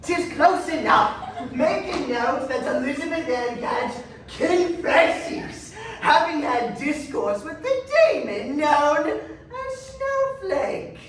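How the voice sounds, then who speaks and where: A woman's voice: sits high, very animated, slow, exaggerated voice. A woman acting a stern, zealous judge at a Puritan witch trial in a pompous, declamatory stage manner. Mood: zealous triumph. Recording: echoey hall from far off, faint noise.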